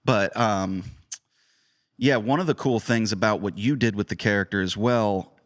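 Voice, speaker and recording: A man's voice: lowish voice, moderate pace. A man chatting informally as a podcast host and director. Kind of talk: casual chat.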